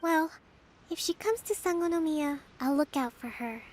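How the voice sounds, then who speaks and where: Woman playing a soft-spoken young priestess ruler, high voice, unhurried. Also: slight background noise, lightly acted, low-quality recording. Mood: calm. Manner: soft, patient, composed. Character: young female island priestess leader, calm and gracious. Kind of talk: video game voice acting.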